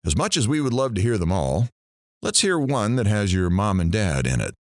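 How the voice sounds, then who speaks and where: Man acting a sardonic radio DJ with swooping pitch. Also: big pitch swings, normal speed, dry recording. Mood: wry, brisk. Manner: dry, sarcastic, theatrical delivery. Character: sardonic, deadpan male radio morning DJ. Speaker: radio talk show host. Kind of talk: radio show patter in audio drama.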